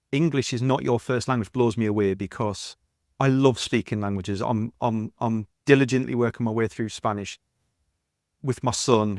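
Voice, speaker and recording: A man's voice: mid-range voice, no room sound, studio quality. A middle-aged man hosting a podcast interview in a conversational, enthusiastic and wordy way. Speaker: podcast host.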